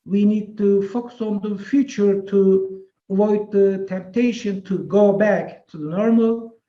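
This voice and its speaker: A man whose first language is Turkish, an associate professor giving a measured, prepared webinar talk with some fillers. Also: high voice, reverberant, dull audio. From Turkey.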